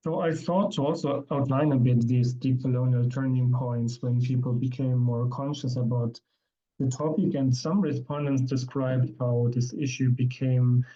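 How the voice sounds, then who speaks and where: A man presenting his research at an academic conference, speaking in a measured way with frequent ums and restarts. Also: mid-range voice, steady tone, mellow, dull audio.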